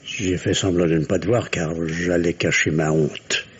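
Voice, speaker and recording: A middle-aged man playing a solemn, shamed doctor and father with halting speech in a French radio drama. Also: low voice, fairly even intonation, close to an everyday voice. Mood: ashamed, tender. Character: shamed, confessing alcoholic doctor, father and son. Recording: dull radio recording, faint noise.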